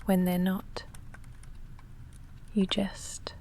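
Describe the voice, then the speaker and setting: A woman playing a shy, lovestruck vampire in an intimate ASMR roleplay, speaking softly and breathily with halting, confessional hesitation, often in a whisper. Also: medium pitch, completely flat delivery. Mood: hushed longing. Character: shy lovestruck female vampire roommate, confessing and self-restrained.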